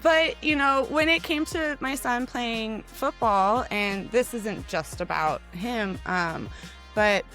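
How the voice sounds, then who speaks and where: A middle-aged woman co-hosting a podcast in casual chat, loud and projecting, a bit hoarse from cheering, acting out quotes as she tells stories. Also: a little high, slow, faint music behind. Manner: animated storyteller, acts out quotes. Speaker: podcast co-host.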